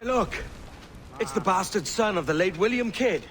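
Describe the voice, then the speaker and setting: An actor playing a cocky, ambitious young pirate with a wry, colloquial swagger in a video game. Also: male voice, sits high, animated, moderate pace, a bit bright. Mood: cocky, scheming. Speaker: pirate captain. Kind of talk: naturalistic video game acting.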